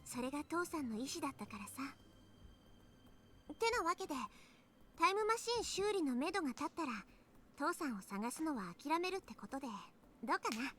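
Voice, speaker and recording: A woman voicing a lively, earnest teenage girl in a Japanese anime visual novel. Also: high-pitched, very fast, long pauses, slight background noise. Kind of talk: Japanese anime game voice acting.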